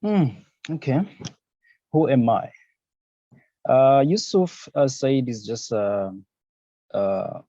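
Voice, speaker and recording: Laid-back man from Zambia, mellow voice. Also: medium pitch, dry recording. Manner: easygoing, many fillers and "you know, man". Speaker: fashion designer, podcast and radio host. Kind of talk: relaxed interview answers. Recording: dull lo-fi sound.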